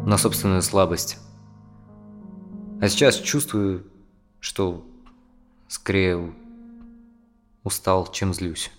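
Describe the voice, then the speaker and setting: An actor playing a tense, dissatisfied male therapy client in his thirties, speaking haltingly in a naturalistic audio drama. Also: fairly low pitch. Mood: tired, dejected. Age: 30s. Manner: hesitant, halting, sighing speech.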